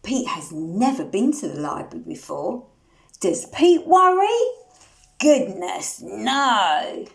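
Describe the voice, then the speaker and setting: A woman reading a picture book aloud to young children, animated and sing-song, putting questions to the listeners and singing the refrains. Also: mid-range voice, takes it slowly, gravelly.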